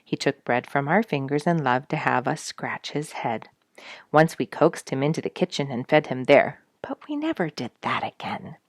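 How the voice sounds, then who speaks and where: Female storyteller for audiobook narration, low register, expressive and deliberate phrasing, close dry sound. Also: no echo. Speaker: storyteller reading an audiobook chapter.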